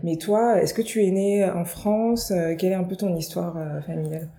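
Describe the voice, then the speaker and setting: A woman interviewer asking questions in a relaxed, informal way with hesitant fillers. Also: lowish voice, moderate pace. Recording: roomy, faint background noise.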